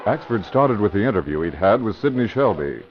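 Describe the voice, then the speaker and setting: A man giving measured, grave scripted narration for a radio drama. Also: fairly low pitch, very animated, very noisy. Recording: dull vintage radio broadcast with music.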